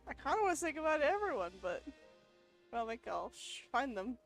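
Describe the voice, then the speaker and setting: A woman's voice: high-pitched, sing-song, brisk, soft background music. A woman playing a video game and casually reacting to its story aloud. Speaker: game player commenting on story. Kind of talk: casual let's play reactions.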